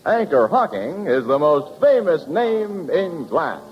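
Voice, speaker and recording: Male old-time radio announcer, scripted and polished, highly animated, dull broadcast sound. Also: slow pace, heavy background noise. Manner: polished, emphatic. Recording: muffled 1940s radio recording.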